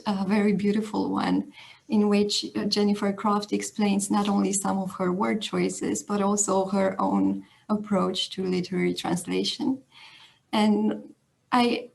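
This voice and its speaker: A woman moderates an online panel and poses long, measured questions broken by fillers. Her voice is gravelly with plenty of fry and stays quite flat in pitch. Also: poor audio.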